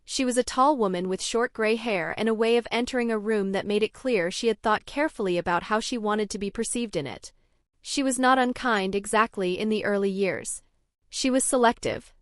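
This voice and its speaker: Measured woman narrating her own story. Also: medium pitch, dry recording, studio quality. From Norway. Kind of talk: scripted first-person story narration.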